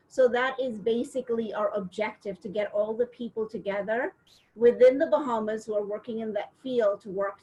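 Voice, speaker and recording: A middle-aged woman from India, a professor, talking on a video call about her community work in a measured, steady way. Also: fairly high pitch, rough recording. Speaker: interview guest, professor. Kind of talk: casual video-call interview.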